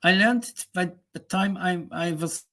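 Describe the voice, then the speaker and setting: A man voicing a boastful, bossy male German professor, rambling slowly in a mock German accent on a comic live stream. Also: high-pitched, fairly bright tone. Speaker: guest.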